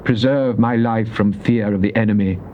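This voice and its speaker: A man acts a devout psalmist in a dramatized Bible recording, praying fervently. He declaims solemnly in measured phrases. The old cassette sound is dull, with a faint hiss behind him. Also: fairly low pitch, fairly even intonation, lightly acted. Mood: pleading, grave. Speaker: scripture reader. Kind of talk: dramatized King James Bible reading.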